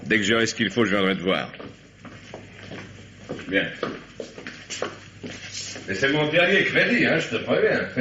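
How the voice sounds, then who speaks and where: An actor playing a cynical, bullying drug dealer who schemes in slangy, domineering talk, in a French radio crime drama. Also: male voice, mid-range voice, a little slow, pauses often, background noise, natural voice. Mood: falsely soothing. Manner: slangy, domineering, falsely soothing. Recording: dull, lo-fi old radio recording.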